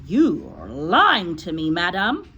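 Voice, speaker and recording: A woman voicing a cruel, bullying headmistress in a storybook, loud, emphatic, scornful and gloating. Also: on the low side, wildly swooping pitch, slow pace, slight background noise, exaggerated voice. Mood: accusing, furious.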